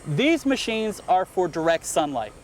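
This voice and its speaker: A man's voice: sits high, big pitch swings, unhurried, a little hiss or hum. A man hosting a boating how-to TV show, upbeat and prompting his guest with questions. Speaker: TV show host. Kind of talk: TV how-to presenting.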